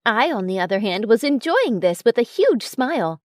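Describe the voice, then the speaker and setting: A woman reading a first-person story as a narrator, in an expressive way. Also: on the high side, moderate pace, no echo, studio quality. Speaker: audiobook narrator.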